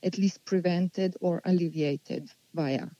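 A woman, answering interview questions unhurriedly and pausing as she thinks. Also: on the low side, fairly even intonation, warm tone, dry recording, dull audio. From Slovenia. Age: 40s. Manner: unhurried, thoughtful, with many pauses and restarts. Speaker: guest.

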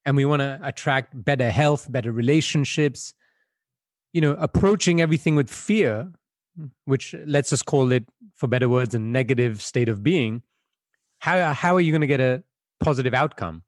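A man's voice: mid-range voice, moderate pace, dry recording. A man from Belgium, a guest on an interview, answering in a reflective, conversational way with frequent fillers over a video call.

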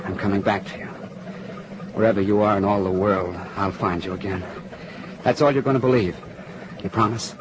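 An actor playing a cocky but tender young army lieutenant, reassuring and intimate, in vintage radio drama style. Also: male voice, on the low side, steady tone, a little fast, pauses often, lightly acted. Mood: tender, resolute. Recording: muffled noisy old radio drama.

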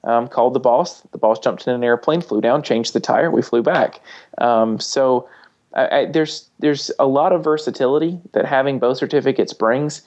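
Man, mid-range voice, thoughtful and halting instructor chatting on a podcast, a touch lo-fi. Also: medium pitch, a little mellow. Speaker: flight instructor and podcast panelist. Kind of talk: casual panel discussion with anecdotes.

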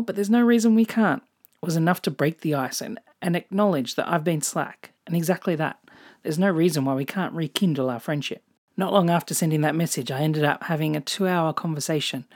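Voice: medium pitch, a little mellow. Reflective Australian podcast host. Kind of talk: scripted personal podcast monologue.

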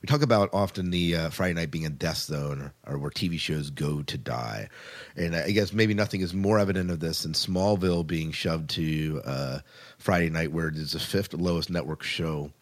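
A man with a notably deep voice hosts a casual talk show. He speaks conversationally, often repeating and restarting phrases as he goes. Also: moderate pace. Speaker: podcast host. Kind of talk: casual chat about TV shows.

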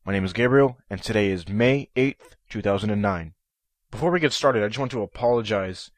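Male solo podcast host, nasal from a head cold, eager with lots of ums, slightly low voice, dry close sound. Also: brisk, no room sound. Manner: eager, with frequent ums and restarts. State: stuffy nose from a head cold.

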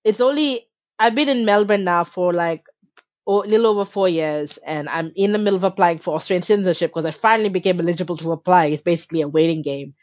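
A woman's voice: lowish voice, fast talker, darkish tone, no echo, lo-fi sound. A woman from Malaysia, a guest in a casual interview, talking with passion and many filler words.

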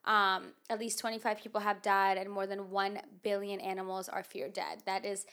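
A woman's voice: medium pitch, little pitch movement, moderate pace, a bit bright. A woman hosting a podcast in casual chat, with a nasal voice and a rambling, filler-heavy way of talking. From United States. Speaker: podcast host.

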